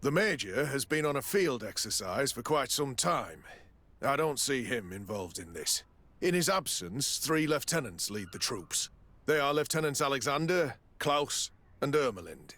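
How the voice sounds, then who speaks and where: An actor playing a troubled, candid garrison quartermaster in a video game, speaking formally and expressively. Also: male voice, medium pitch, sing-song, moderate pace, fairly bright tone. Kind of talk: dramatic video game dialogue.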